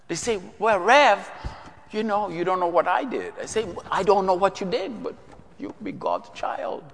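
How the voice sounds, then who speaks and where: A man's voice: a little high, fairly bright tone. Middle-aged male prison chaplain from Uganda, earnest sermon with rhetorical questions, echoing church. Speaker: prison chaplain preaching as guest.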